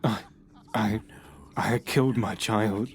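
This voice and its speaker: An actor playing a troubled, unwell man who confesses to the police in a halting, hushed voice with nervous laughs. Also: lowish voice, fairly even intonation. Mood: haunted. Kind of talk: audio horror drama.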